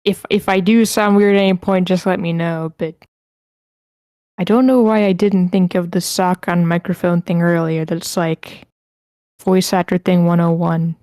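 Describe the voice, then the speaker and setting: Woman, casual online table-read host, mellow tone, very dry close recording. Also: lowish voice, steady tone, normal speed, no echo. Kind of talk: casual livestream hosting. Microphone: close mic with a sock over it, online voice call.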